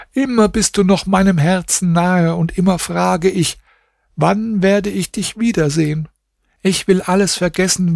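A man's voice: a little high, creaky, a little mellow, dry recording, studio quality, pitched above the performer's own voice. A man voicing a grieving captive young princess who pleads with her husband in a mournful way.

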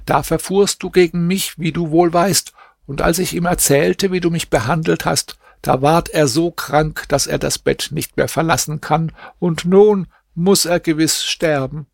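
Male reader doing a wheedling old crone, lifted pitch, slow and dry. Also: creaky, no room sound. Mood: pleading. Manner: coaxing, pleading storyteller's delivery. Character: scheming old woman go-between, coaxing. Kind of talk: audiobook reading.

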